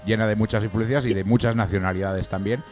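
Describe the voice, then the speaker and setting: A man's voice: fairly low pitch, fairly even intonation, fast, music in the background. A man hosting a rock podcast interview, talking in a chatty way with many fillers and restarts. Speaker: podcast host. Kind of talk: casual radio interview.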